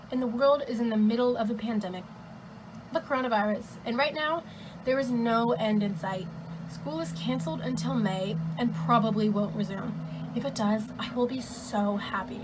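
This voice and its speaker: High-ish wistful teen girl, acted, noisy background. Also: moderate pace, close to an everyday voice. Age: teenager or young adult. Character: wistful teenage student writing to her future self. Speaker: student actor. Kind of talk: monologue read as a letter. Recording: clear background vehicle noise.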